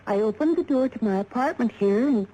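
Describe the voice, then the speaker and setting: Actress as young glamour model, shaken yet scornful; soft mellow voice, vintage radio hiss. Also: female voice, a little high, lively intonation, a little fast, natural voice. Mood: shaken, subdued. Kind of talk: 1950s radio drama acting. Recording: faintly noisy vintage radio recording.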